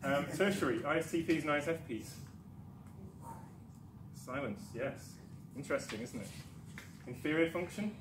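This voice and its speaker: A man's voice: fairly high pitch, expressive, moderate pace, long pauses. A man from Newcastle giving a conference talk, chatty and self-deprecating, with many ums and restarts. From Newcastle, England. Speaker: conference speaker. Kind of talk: presentation talk. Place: darkened lecture room. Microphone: far camera. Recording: echoey room with background noise.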